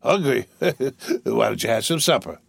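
Man reading as a drunk, giggly rich boy, slurring and lurching, higher and louder than his narration, dry close sound. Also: sing-song, normal speed, vocal fry, husky, dry recording, exaggerated voice. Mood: tipsy. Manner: slurred, laughing, lurching speech. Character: drunk rich young man, about eighteen, merry.

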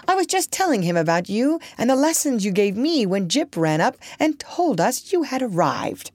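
A woman voicing a gentle, courteous elderly male doctor with a mild, musing manner. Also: a little high, very animated, moderate pace, no room sound, voice pushed up.

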